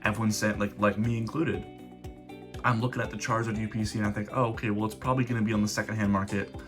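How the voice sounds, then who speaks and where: A man talks casually to camera as host of his own channel, his voice a little stuffy from a cold that is coming on. He rambles and restarts his sentences as he explains. His voice sits fairly low, and clear guitar music plays underneath. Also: level tone, fast talker. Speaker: host of a card collecting channel. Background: background guitar music.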